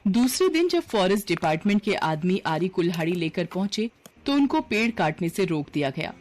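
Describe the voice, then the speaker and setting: A woman's voice: lowish voice, big pitch swings, a little fast, a little mellow. A woman narrator reading a scripted story for a cartoon video.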